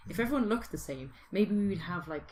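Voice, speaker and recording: A British woman with a fairly low voice talks as a podcast host. She is informal and musing, working through ideas aloud in casual, speculative chat. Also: lively intonation, quick pace, darkish tone, a little hiss or hum.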